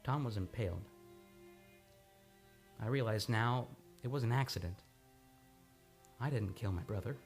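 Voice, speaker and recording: An actor playing an earnest, tender man haunted by returning memories, with halting, dramatic delivery in an old-time radio play. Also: on the low side. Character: haunted male amnesia patient recovering his memory, tender and earnest. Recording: studio radio play with music behind.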